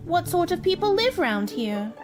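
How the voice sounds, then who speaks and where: A woman's voice: very high voice, very animated, moderate pace, loud music behind the voice. A woman playing a polite, earnest, curious young girl in a radio play.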